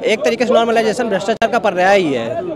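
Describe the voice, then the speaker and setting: A male protester with a high voice speaks firmly, as if addressing a rally. A loud crowd surrounds him. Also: sing-song, fast talker. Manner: firm, speech-like. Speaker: student protester.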